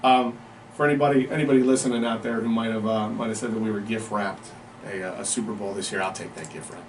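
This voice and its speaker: Fast-talking man hosting sports radio banter. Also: fairly low pitch, steady tone. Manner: informal, slangy, bantering. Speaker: sports talk show host. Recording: distant mic, faint background noise.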